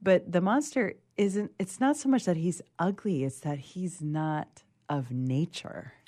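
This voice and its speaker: A woman's voice: lowish voice, a little slow, warm tone. A woman co-presenting a casual literary discussion, earnest and emphatic, rephrasing as she goes. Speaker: co-presenter and reader.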